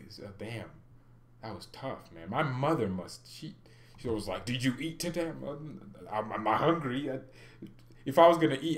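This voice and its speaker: A young man hosting a football fan channel from his home room, chatting loosely and jokingly to viewers while seated at his desk. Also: mid-range voice. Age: young adult. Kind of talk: casual chat to viewers. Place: home room with flag behind.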